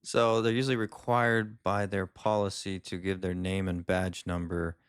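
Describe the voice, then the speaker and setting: A man doing a calm legal commentary voice-over, explaining each point in a measured way. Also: low voice, smooth, dry recording, studio quality. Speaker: lawyer commentator.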